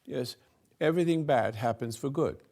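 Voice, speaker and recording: An older man slowly giving a rambling spiritual talk to a room, halting and restarting, swinging between soft and loud. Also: medium pitch, vocal fry. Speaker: spiritual teacher hosting a talk.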